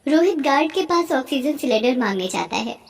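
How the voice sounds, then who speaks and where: A woman's voice: sits high, lively intonation, a little fast, creaky. A woman storyteller reading scripted narration for a cartoon story.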